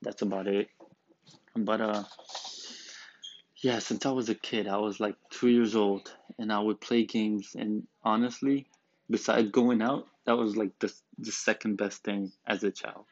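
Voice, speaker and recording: Young man, from Romania, late teens, lowish voice, casual rambling podcast talk, dull dry recording. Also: fairly even intonation, measured pace, darkish tone, no room sound. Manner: laid-back, rambling, joking. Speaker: student podcast host. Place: back room of a school.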